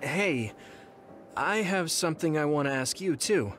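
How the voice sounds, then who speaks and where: An earnest young male soldier from an anime game, with a fairly high, lively voice. He is polite and a little awkward, hesitating as he asks. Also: unhurried, slight background noise. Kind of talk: anime-style video game dubbing.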